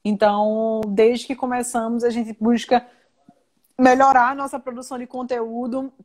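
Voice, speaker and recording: A young woman from Recife with a strong Pernambuco accent, talking as an interview guest in a chatty, animated way with many fillers. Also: on the high side, normal speed. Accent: Pernambuco Portuguese. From Recife, Brazil. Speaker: guest, science communicator and entrepreneur. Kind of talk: video call interview.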